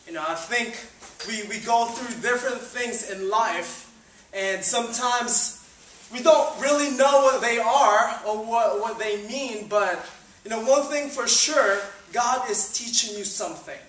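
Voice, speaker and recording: Young guy from the philippines giving a chatty church talk, high voice, echoey hall, heard from far. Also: measured pace, a bit bright, slight background noise. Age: 20s. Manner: chatty and upbeat, many "um"s and "you know"s. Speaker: student ministry leader. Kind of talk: informal sermon talk. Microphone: far camera, no mic seen. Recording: roomy hall sound from a distance.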